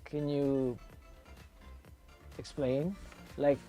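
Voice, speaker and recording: A man's voice: medium pitch, little pitch movement, drawn-out words, dark tone, faint music behind. A male host, asking short, curious interview questions. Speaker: show host.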